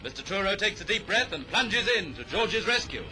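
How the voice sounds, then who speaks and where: A British man narrating a 1930s newsreel in a brisk, declamatory, jaunty announcer's manner. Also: sits high, big pitch swings. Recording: vintage 1930s newsreel soundtrack, music underneath. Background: music.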